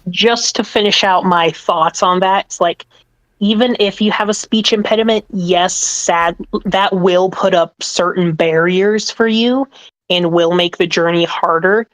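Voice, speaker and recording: A woman co-hosting a podcast, speaking in a measured, encouraging way with some fillers in a casual chat. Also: on the low side, poor audio. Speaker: podcast co-host. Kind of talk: casual group chat on a call.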